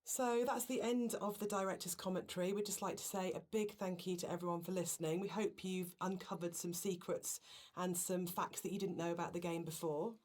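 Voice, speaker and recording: A woman with a notably low voice talks through creative work as a game developer. Her delivery is conversational and reflective, in the style of a director's commentary. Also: fairly even intonation, brisk, darkish tone.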